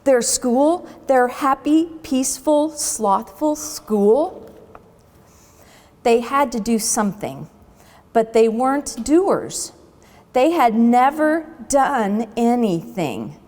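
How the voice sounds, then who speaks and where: A woman storyteller reading a children's book aloud expressively, with dramatic pauses between phrases. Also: a little high, very animated, a little mellow, long reverb tail.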